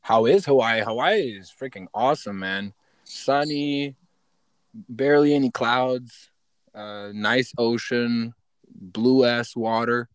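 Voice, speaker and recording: A young man from western Ukraine chats as a violinist guest in a laid-back, slangy way and swears casually. His voice is smooth and resonant, mid-pitched, and the sound is close and dry. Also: slow pace, no echo. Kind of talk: casual interview chat. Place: outdoors by a road with passing cars.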